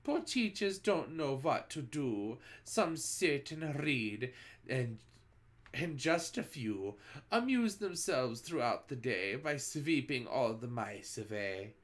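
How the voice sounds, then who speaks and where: A reader putting on the voice of a cruel, gloating old witch queen who shouts and chants a singsong rhyme in a storybook read-aloud. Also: medium pitch, big pitch swings, takes it slowly.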